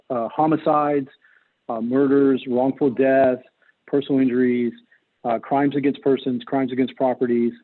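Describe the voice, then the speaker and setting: A middle-aged American man giving earnest, measured answers as a political candidate in an interview. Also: mid-range voice, narrow, tinny audio. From North Carolina, United States. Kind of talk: interview answers, campaign talk.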